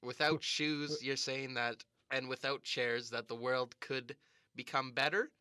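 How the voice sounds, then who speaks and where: A man playing a mild, agreeable talk show host in an improvised radio comedy, hesitant, deferential and deadpan. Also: mid-range voice, unhurried, clean tone, no echo, studio quality.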